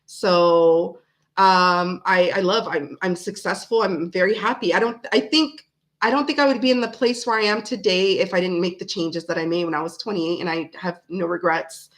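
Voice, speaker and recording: A woman's voice: on the low side, fairly even intonation, moderate pace, a bit bright. A woman in her forties, her accent sitting between American and Canadian, rambles easily through a casual livestream chat. She talks in loose runs with many "ums" and restarts, like someone answering viewers late into a long session. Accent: mixed American and Canadian. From Canada, raised in South Florida. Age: 40s. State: tired. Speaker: livestream host. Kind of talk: casual chat reading live comments.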